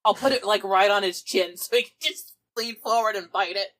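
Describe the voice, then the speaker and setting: A woman's voice: medium pitch, a little fast, fairly bright tone, a little hiss or hum. A woman playing a tabletop role-playing game, casually describing what her character says and does. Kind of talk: casual table talk narrating own character.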